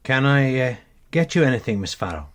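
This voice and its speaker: A man's voice: mid-range voice, very animated, fairly quick, fairly bright tone. A man playing a worldly detective sergeant in a British radio crime drama, informal, dry and knowing. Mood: muted, puzzled.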